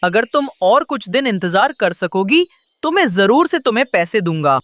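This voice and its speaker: A reluctant cartoon pigeon fellow, played by a woman, apologetic in manner. The voice has middling pitch for a woman and a close, dry sound. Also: sing-song, normal speed, dry recording, lightly acted.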